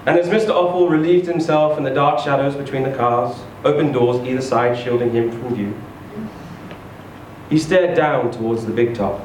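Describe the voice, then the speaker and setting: A man reading a short story aloud in an expressive, theatrical, emphatic storytelling style. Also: medium pitch, normal speed. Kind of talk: expressive live literary reading. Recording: echoey room, distant mic, faint noise.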